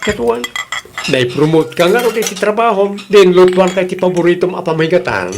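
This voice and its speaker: An actor with a Filipino accent playing an affectionate but insecure husband who broods about money, in an Ilocano radio drama. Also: male voice, high-pitched, expressive, fairly quick, background noise.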